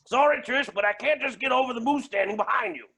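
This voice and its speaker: An actor playing a smug, theatrical billionaire villain with dry bravado in a staged play reading. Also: male voice, very high voice, quick pace, creaky, sharp tone, exaggerated voice. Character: cocky, theatrical billionaire villain, stoic through pain.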